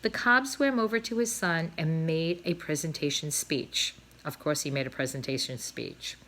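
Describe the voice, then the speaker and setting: A woman's voice: sits low, moderate pace, fairly bright tone. A woman reading a children's novel aloud expressively, dramatizing the action as a storyteller. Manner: dramatic, with emphasized sound words and brief asides. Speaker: storybook reader.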